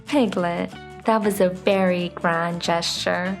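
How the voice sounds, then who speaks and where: A woman voicing a kind, admiring little boy in a children's storybook, speaking in a warm, praising manner. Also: fairly low pitch, steady tone, slow, faint music behind.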